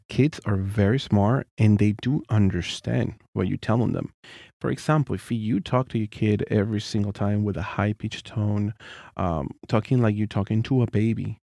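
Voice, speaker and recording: Deep-voiced man, casual co-host, gravelly and dry, says things twice to make his point. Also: a little mellow, dry recording. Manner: earnest, repeats himself, non-native phrasing. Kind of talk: casual chat.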